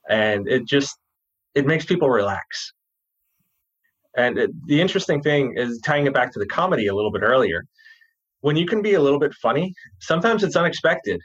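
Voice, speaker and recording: A man with a husky voice and a New Jersey accent talks easily about his work as a guest, loose and conversational, dropping the ends of his words. The sound is a bit dull and lo-fi. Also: medium pitch. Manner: relaxed, chatty, drops his g's. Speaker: copywriter, guest. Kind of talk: casual interview talk.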